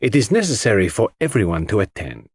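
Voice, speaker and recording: A man playing a complacent, excitable foreign detective in an audiobook, with a grandiose, courteous and emphatic manner. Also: lowish voice, fast, breathy edge, no room sound, studio quality. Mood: pompous, courteous.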